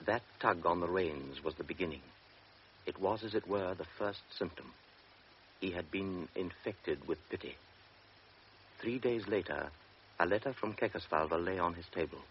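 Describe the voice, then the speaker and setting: A man narrating a radio drama in a measured, quiet way. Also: low voice, slight background noise. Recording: dull old radio recording with music.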